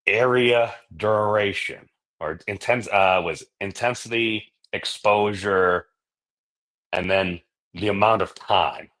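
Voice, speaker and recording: A man's voice: lowish voice, takes it slowly, a bit bright. A man hosting a livestream in a casual, rambling chat, jokey and self-deprecating as he drifts into digressions. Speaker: livestream host.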